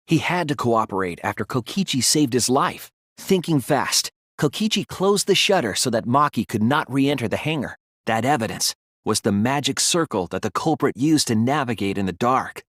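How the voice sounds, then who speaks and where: A man's voice: medium pitch, fairly bright tone, no room sound, clean studio sound. An actor playing an earnest young male detective student in a video game, reasoning carefully step by step. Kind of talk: video game voice acting, deductive explanation.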